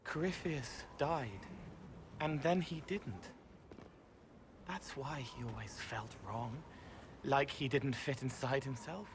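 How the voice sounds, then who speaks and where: A man playing an eerie, otherworldly, childlike young man in a video game, musing in a halting, plaintive way. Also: medium pitch, background noise. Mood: troubled, musing. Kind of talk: video game character dialogue.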